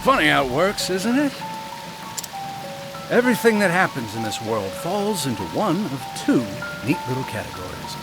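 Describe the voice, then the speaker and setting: An actor playing a wry, philosophical man musing theatrically in a video game, measured and knowing. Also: fairly high pitch, sing-song, low-quality recording. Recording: loud music and rain behind.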